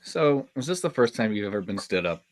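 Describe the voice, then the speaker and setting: A man's voice: on the low side, big pitch swings, a little fast, no room sound. A man acting an earnest, awkward, hopeful young male camp counselor in a horror script table read. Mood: awkwardly tender.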